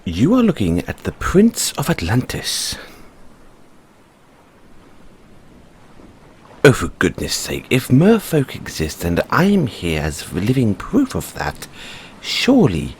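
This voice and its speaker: A man's voice: fairly low pitch, huge pitch swings, unhurried, slight background noise, full character voice. A man playing an earnest, cheery merman prince in an audio roleplay, speaking in a theatrical, exclamatory way with genteel, fussy politeness. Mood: haughty, apologetic. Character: young, cheery, dramatic, naively earnest merman prince of Atlantis. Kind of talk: audio roleplay addressed to the listener.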